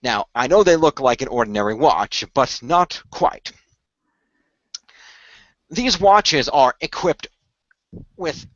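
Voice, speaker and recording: A man playing an earnest male leader who recruits heroes in a radio play, explaining things in a halting, deliberate way. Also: medium pitch, fairly bright tone, no room sound, dull audio, lightly acted. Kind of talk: improvised radio play dialogue.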